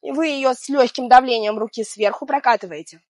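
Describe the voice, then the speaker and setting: A woman in her thirties, hoarse from a cold, guiding an exercise routine outdoors by the sea in a chatty, gentle way with small laughs. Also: fairly high pitch, brisk, no room sound. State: hoarse from illness. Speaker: fitness instructor. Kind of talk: guided exercise instruction. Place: outdoors on a seaside promenade.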